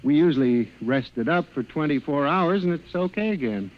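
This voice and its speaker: An actor playing a timid, hesitant middle-aged male clerk who narrates and acts in an old-time radio drama, with an apologetic, quietly resentful manner. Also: a little high, lively intonation, normal speed, muffled recording, lightly acted. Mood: flustered, meek. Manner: hesitant, meek, deferential. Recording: old radio broadcast with music bed.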